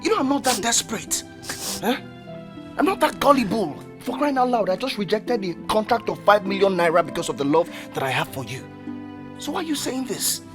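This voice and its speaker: A proud, devoted young Nigerian man in a screen drama. His delivery is earnest and pleading, with lively swings in pitch, over clearly audible music. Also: a little fast. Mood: pleading, exasperated.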